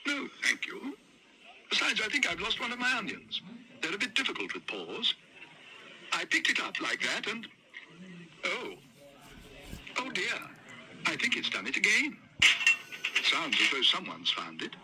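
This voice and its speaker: A man's voice: high-pitched, wildly swooping pitch, measured pace, long pauses, sharp tone, pitched above the performer's own voice, heavily acted, rough recording. A man voicing a small, polite and earnest young male bear in a storybook reading. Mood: flustered. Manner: politely matter-of-fact.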